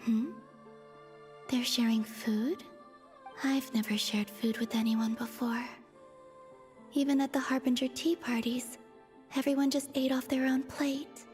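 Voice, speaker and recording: Wistful moon goddess, highish voice, soft and breathy, formal, contemplative, video game monologue, light music behind. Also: female voice, flat delivery, measured pace, pauses often. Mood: wistful, wondering. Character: aloof, wistful moon goddess quietly watching mortals.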